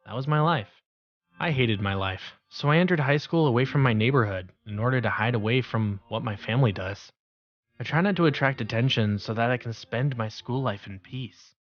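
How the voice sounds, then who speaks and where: A man's voice: mid-range voice, normal speed, muffled recording, natural voice. A man voicing an introverted, resigned teenage schoolboy in an anime-style dub, mild and hesitant. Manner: mild, hesitant, stammers when flustered. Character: introverted teenage schoolboy narrator, secretly capable, meek and resigned. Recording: background music under voice.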